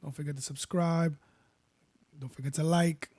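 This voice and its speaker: A man with a baritone voice, casually walking viewers through making a beat and rambling as he goes. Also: normal speed. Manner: relaxed, rambling, self-correcting. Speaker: beat producer. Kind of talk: casual tutorial talk. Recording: faint music behind.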